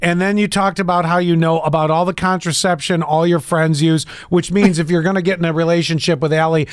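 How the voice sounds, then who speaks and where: A middle-aged man co-hosting a podcast over a video call, teasing and laughing often, talking into a boom-arm studio mic. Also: steady tone, a little fast, clean tone, no echo. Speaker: podcast co-host. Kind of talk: casual chat. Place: home room by a window.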